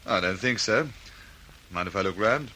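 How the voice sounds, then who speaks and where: A man's voice: on the low side, animated, normal speed, sharp tone. A man playing a plain-spoken junior police detective, blunt and laconic in an old radio drama. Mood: pressing, suspicious.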